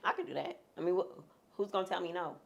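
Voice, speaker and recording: A woman speaking frankly as a guest in a sit-down interview, talking with humorous asides in a deep, husky voice. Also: quick pace, dull audio, a little hiss or hum. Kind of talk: candid sit-down conversation.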